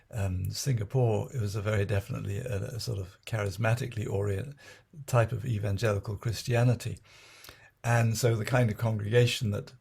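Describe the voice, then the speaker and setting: Older guy telling his memoirs, low gravelly voice, kinda husky, lots of ums and restarts. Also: darkish tone. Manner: halting, many fillers and restarts. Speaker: retired Anglican priest recounting his memoirs. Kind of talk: unscripted reflective memoir narration.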